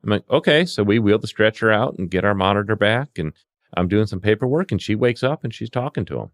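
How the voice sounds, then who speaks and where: Low-voiced man telling field stories. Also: animated, fairly quick, no room sound, clean studio sound. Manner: acts out exchanges with quoted dialogue. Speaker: paramedic and CPR instructor telling field stories. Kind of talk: animated anecdotal storytelling in conversation.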